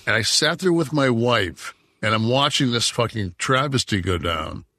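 A man's voice: medium pitch, lively intonation, moderate pace, bright, forceful tone, dry recording, studio quality. A man in his sixties from Detroit, co-hosting a podcast and talking in a heated, profane, rambling way. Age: 60s. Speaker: podcast co-host. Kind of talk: casual chat.

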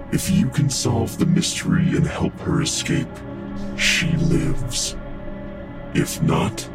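Creepy cold kidnapper for a horror podcast, super slow and deliberate, loud spooky music. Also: male voice, low voice, creaky, heavily acted. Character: menacing male captor coldly announcing a deadly game. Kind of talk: horror audio drama trailer monologue. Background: loud scary music.

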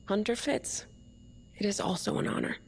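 An actress playing a defiant, cynical leader of an underground separatist movement in an audio drama. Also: female voice, mid-range voice, normal speed, a little hiss or hum, close to an everyday voice. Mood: assured, grave.

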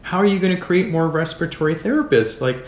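An American man speaking as an interview guest in a measured, thoughtful way, pausing between short phrases. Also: fairly high pitch, lively intonation. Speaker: podcast guest, physician. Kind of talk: reflective interview talk. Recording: roomy echo, clear background noise.

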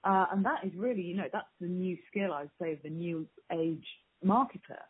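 A very husky, breathy female voice comes through a narrow, phone-like connection. She answers interview questions informally, with candid emphasis, as a marketing expert. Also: on the low side, a little fast. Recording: thin phone-like line.

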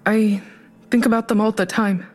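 A woman's voice: mid-range voice, steady tone, normal speed, darkish tone, soft background music, natural voice. An actress playing a troubled, guilt-ridden woman who keeps pressing, in an audio drama. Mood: troubled, insistent.

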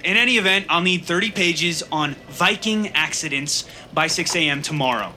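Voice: mid-range voice, animated, normal speed, bright, forceful tone. An actor playing a smug, demanding, whiny young office boss in a scripted audio drama, dramatic and exasperated.

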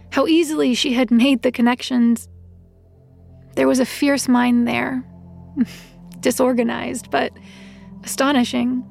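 Earnest female investigator's assistant, higher voice with heavy fry, introspective audio drama narration. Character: earnest, reflective, conscientious female detective's assistant.

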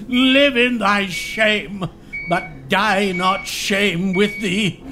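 A man's voice: sits high, steady tone, drawn-out words, noisy background. An actor playing a dying old nobleman who mocks his own name and scolds the king, laughing bitterly through his lines in a classical stage style.

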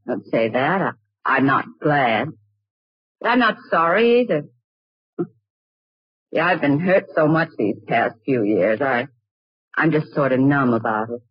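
Husky, bitter, weary widow. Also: female voice, rumbling low, expressive, a little slow, long pauses, no echo, full character voice. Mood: numb, hurt. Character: bitter, weary, numbly defiant young widow. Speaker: radio actress. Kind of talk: 1940s radio acting. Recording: muffled old-time radio recording.

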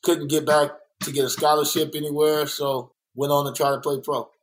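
Earnest man talking, slightly high voice. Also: little pitch movement, normal speed, slight background noise. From born in Kansas City, raised in South Central Los Angeles. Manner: earnest, reflective, repeats points for emphasis. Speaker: muralist and guest. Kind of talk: candid conversational interview.

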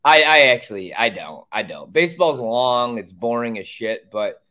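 A man's voice: medium pitch, expressive, moderate pace, sharp tone. A man co-hosting a casual sports podcast, talking in a blunt, opinionated way and swearing freely.

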